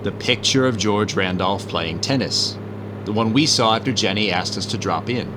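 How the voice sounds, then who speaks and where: An actor playing an assured gentleman detective, speaking in a measured 1950s radio drama manner. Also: fairly low pitch, noisy background, natural voice. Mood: assured, explanatory. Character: calm, confident gentleman amateur detective explaining.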